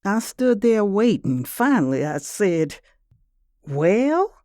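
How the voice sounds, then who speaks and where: Unhurried woman narrator. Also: deep voice, dark tone, no room sound, clean studio sound. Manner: reflective, short deliberate phrases. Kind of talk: first-person dramatic story narration.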